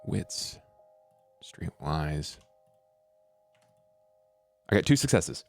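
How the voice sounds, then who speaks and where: Deep-voiced man playing a tabletop game. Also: sing-song, drawn-out words, vocal fry. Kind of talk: casual actual-play game talk. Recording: music behind the voice.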